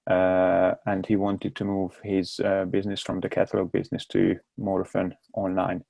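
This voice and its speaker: Hungarian guy in his 30s, low gravelly voice, super flat, stumbles and repeats words, dry sound. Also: no echo. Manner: halting, repeats words while searching for them. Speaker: guest. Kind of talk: casual interview talk.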